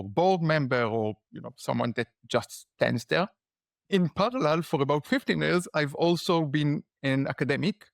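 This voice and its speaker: A man with an Israeli accent, a guest giving relaxed, reflective interview answers with frequent fillers. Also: a little high, creaky, no room sound, studio quality. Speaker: guest, startup founder and lecturer.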